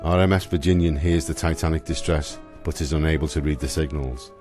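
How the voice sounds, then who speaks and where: A man's voice: a bass voice, steady tone, a little fast, over music. A man giving dramatic scripted narration for a historical radio play.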